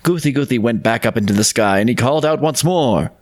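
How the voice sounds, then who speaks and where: A man co-hosting a podcast, discussing and narrating folk tales in an earnest, unhurried way. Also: mid-range voice, animated. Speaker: podcast co-host and storyteller.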